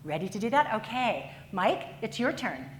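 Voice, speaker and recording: A woman's voice: medium pitch, very animated, normal speed. Playful woman leading a conference icebreaker. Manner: playful, teasing. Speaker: training session leader. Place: conference room. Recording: echoey conference hall with noise.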